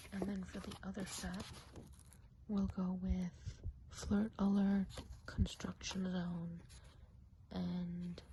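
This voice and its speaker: A woman's voice: medium pitch, background noise, close to an everyday voice. Woman as a whispering, gossipy woodland witch. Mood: gentle, focused. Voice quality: soft breathy whisper. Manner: unhurried, gossipy, hesitant musing. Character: chatty, friendly witch of the enchanted woods, event planner. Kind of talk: whispered ASMR roleplay.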